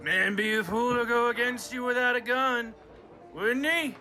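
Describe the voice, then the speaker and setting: Western radio bully, male actor: piercing, high, drawn-out taunts, folksy and belligerent. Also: bright, forceful tone, full character voice. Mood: goading. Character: belligerent, goading gunslinging bully picking a fight. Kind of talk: amateur western radio play acting. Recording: student radio play with background music.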